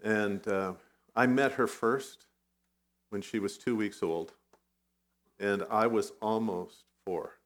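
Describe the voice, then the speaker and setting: A middle-aged man who grew up in Vietnam, a guest pastor giving a conversational sermon with personal stories, now and then clearing his throat. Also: fairly low pitch, takes it slowly, long pauses. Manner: conversational, self-deprecating storytelling.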